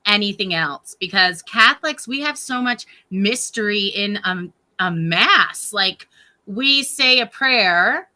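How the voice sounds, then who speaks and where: Bright-voiced chatty woman guest. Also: mid-range voice, animated, slow. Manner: chatty, laughs often. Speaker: guest, ghost tour guide. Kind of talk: casual interview chat.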